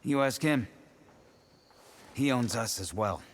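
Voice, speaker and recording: An actor playing a weary, worried miner who speaks in a gruff, resigned way in a video game. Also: male voice, mid-range voice, expressive, slow pace, slight background noise.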